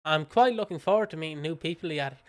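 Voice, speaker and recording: A man's voice: a little high, fast. A British man co-hosting a podcast in casual chat, giggly and teasing, sometimes reading out notes. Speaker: podcast co-host.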